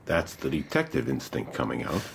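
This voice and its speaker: An actor playing a calm, perceptive confidant in a radio drama, knowing and gently probing. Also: male voice, very deep voice, moderate pace, vocal fry, a little hiss or hum, full character voice. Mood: attentive, intrigued.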